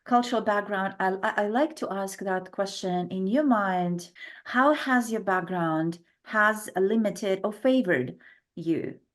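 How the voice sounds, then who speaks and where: A woman's voice: medium pitch, a little slow. A woman hosting a podcast interview, encouraging and affirming her guest. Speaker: podcast host. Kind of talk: casual interview chat.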